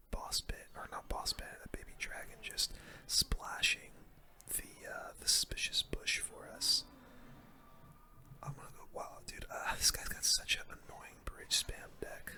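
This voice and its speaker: A video game streamer giving casual ASMR gameplay commentary in a soft, breathy whisper, with relaxed gamer slang. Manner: casual gamer slang, murmured reactions to play.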